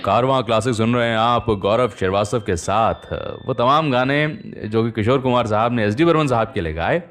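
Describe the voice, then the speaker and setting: A man hosting a radio show, telling stories in a chatty, conversational way with pauses and rhetorical tags. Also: on the low side. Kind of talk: conversational radio storytelling about old film music.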